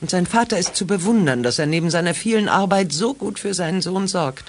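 A woman playing a kind, thoughtful mother with a low, husky voice in a 1980s children's radio drama, speaking earnestly. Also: a little hiss or hum, close to an everyday voice. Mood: friendly. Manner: earnest, measured, expressive.

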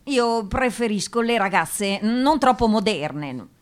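A woman's voice: fairly high pitch, lively intonation, takes it slowly. An actress playing a shy, pious eighteen-year-old provincial girl with a hesitant, stammering, naive way of talking in a radio drama. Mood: guarded, shy. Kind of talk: radio drama interview monologue.

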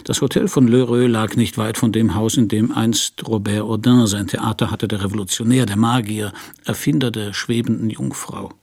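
A man playing a world-weary private detective who narrates his case in a hardboiled radio crime play, dry and laconic, with a husky, gravelly voice. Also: lowish voice, steady tone, normal speed, a little mellow. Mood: wry, brooding. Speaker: narrator and lead actor.

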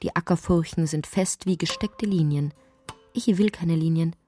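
A woman narrating a poetic, absurd literary radio drama in deliberate, measured phrases. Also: sits low, dark tone, soft background music, natural voice. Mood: musing, wry.

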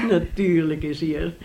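A woman's voice: on the low side, dark tone. An actress playing a fussy, scheming older mother in a radio play, theatrical and effusive, repeating herself. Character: fussy, scheming older mother, gushing then bitterly nagging. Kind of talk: Dutch radio play acting.

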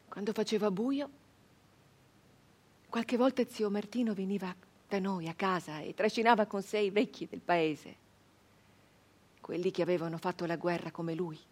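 An actress playing a passionate, wistful 19th-century village woman in an intense theatrical monologue, swinging between hushed and loud delivery. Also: mid-range voice, natural voice. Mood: proud, remembering.

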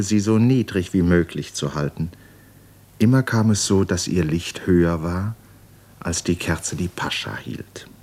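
Deep-voiced male radio drama narrator. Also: darkish tone, husky.

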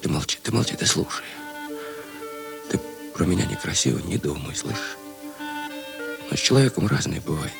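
An actor playing a brash, restless but tender thief in a Russian radio drama, with halting, repetitive, slangy speech. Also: male voice, low-pitched, expressive, gravelly, music in the background, heavily acted. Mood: pleading, hushed.

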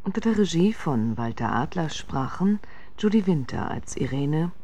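A woman's voice: deep voice, very animated, normal speed, dark tone, slight background noise. A woman reading a formal scripted broadcast announcement as a credits announcer.

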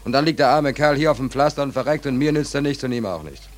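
An actor playing a down-and-out American sailor in a 1940s German radio play, weary, wry and stubborn, with colloquial, sardonic, rambling talk. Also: male voice, mid-range voice, quick pace, natural voice.

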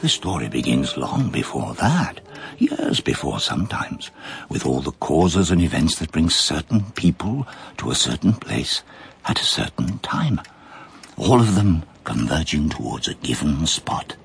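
An actor playing an elderly male judge in a radio drama, speaking in a measured, precise, ominous way. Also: extremely low pitch, sing-song, vocal fry, slight background noise, heavily acted. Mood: brooding. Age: older. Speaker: retired judge.

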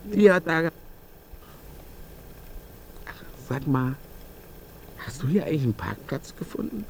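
Slow, rambling local man. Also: a little high, expressive, vocal fry, slight background noise, poor audio. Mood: musing, chatty. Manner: rambling, digressive, colloquial. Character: chatty, rambling local man talking about neighbourhood.

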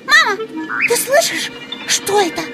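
A woman voicing an excitable, easily scared and curious little male hedgehog in a children's audio play, exclaiming and stammering. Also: very high-pitched, measured pace, loud music behind the voice, full character voice. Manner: exclaiming, stammering when startled.

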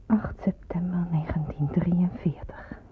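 An actress playing an anxious young nurse in a wartime radio play, hesitant and murmuring to herself. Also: female voice, lowish voice, little pitch movement, a little slow, in a whisper, natural voice. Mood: tense, musing. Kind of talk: Dutch radio play acting. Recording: noisy narrow-band old radio play recording.

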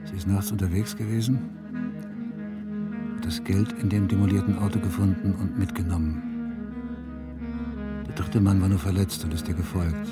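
Deep-voiced brooding detective. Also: male voice, little pitch movement, normal speed, long pauses, loud music behind the voice. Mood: brooding, reflective. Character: brooding, stern male police detective, weary and terse. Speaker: lead actor and narrator in a crime radio play. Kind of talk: German radio drama acting, inner monologue.

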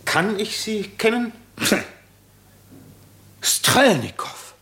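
An actor in a 1950s German radio drama playing a wary, startled doctor with a halting, questioning delivery. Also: male voice, high-pitched, big pitch swings, creaky, pushed and bright, breathy edge, heavily acted. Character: startled, wary male doctor facing a night intruder.

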